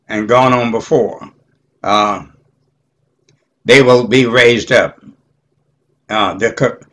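Older man, very unhurried, halting Bible teacher, mid-range pitch, frequent amens. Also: sharp tone. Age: 70s or older. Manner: halting, deliberate, punctuated with amens. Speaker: Bible study teacher, preacher. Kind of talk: informal Bible teaching with scripture reading.

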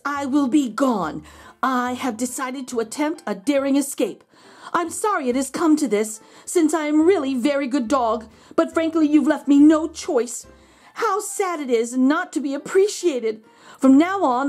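A woman's voice: high voice, darkish tone. A woman voicing a self-pitying, melodramatic dog from a storybook, pleading and stretching out words theatrically. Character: melodramatic, self-pitying male dog writing letters home.

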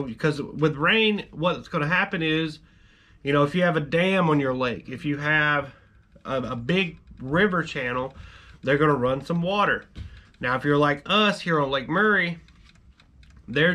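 A man's voice: on the high side, sharp tone. A man in his thirties, a fishing channel host, explaining to camera in a chatty, easygoing way full of "you know" fillers, from a workshop at desk distance. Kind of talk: casual instructional talk to camera. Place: cluttered garage workshop, indoors.

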